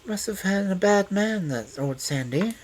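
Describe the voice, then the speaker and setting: A man voicing a calm, probing woman in an audiobook story, coaxing and sympathetic as she speaks. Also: a little high, a little fast, husky, pitched above the performer's own voice.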